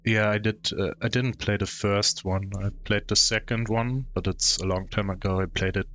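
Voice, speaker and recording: A man's voice: on the low side, steady tone, moderate pace, fairly bright tone, soft background music. A man with a German accent streaming a game, casually commenting and thinking aloud with hums.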